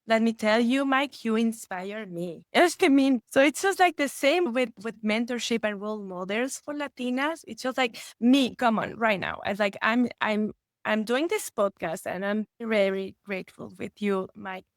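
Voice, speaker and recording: A woman's voice: a little high, normal speed, no room sound, clean studio sound. Earnest woman. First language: Spanish. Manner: earnest, with frequent restarts and rising excitement. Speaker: guest expert. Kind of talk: conversational podcast interview.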